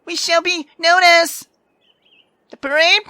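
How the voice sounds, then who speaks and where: A woman's voice: very high voice, steady tone, slow, pushed and bright, no room sound, voice pushed up, heavily acted. A woman voicing a brash, sarcastic sixteen-year-old girl who is theatrical, teasing and foul-mouthed in a visual novel read-aloud. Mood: excited.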